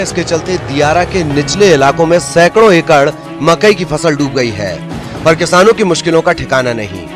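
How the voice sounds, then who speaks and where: A man giving scripted news narration in a formal way. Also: fairly high pitch. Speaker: news reporter.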